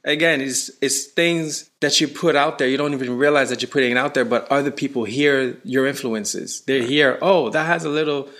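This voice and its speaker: A man from Canada, a touring musician, chatting in an interview and telling stories with rising excitement. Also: mid-range voice, normal speed, few pauses. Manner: animated storyteller, voice rising when excited. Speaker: musician guest. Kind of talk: casual interview.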